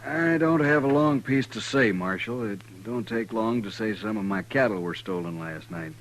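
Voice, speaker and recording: An actor playing a modest small-time cattle rancher in a 1950s radio western, with a plain, reserved Western American way of speaking. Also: male voice, lowish voice, normal speed, slight background noise, lightly acted, low-quality recording. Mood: matter-of-fact.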